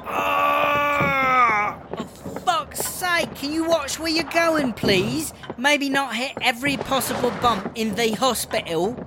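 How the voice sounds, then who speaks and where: A woman's voice: high voice, slow pace, heavy background noise. A woman playing an injured, foul-mouthed British prison inmate in an audio drama, groaning in pain and exasperated. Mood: furious, pained. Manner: sweary, exasperated, sarcastic.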